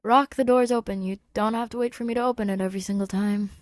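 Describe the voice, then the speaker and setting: A woman voicing a casual, wry, warm-hearted male human scientist in an audio story. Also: on the high side, steady tone, quick pace, dry recording, studio quality, close to an everyday voice. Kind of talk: podfic character dialogue.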